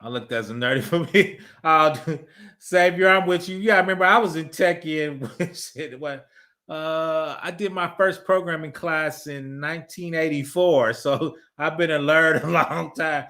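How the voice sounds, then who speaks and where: A man's voice: fairly high pitch, measured pace, pushed and bright. A man, chatting casually on a livestream, reading viewer messages, laughing often and rambling through asides. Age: 50s. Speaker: livestream host reading super chats.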